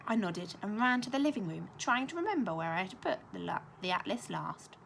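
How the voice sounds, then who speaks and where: A young adult woman, a primary school teacher reading a children's novel aloud with expressive storytelling. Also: mid-range voice, brisk, vocal fry, slight background noise. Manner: expressive storytelling with lively intonation.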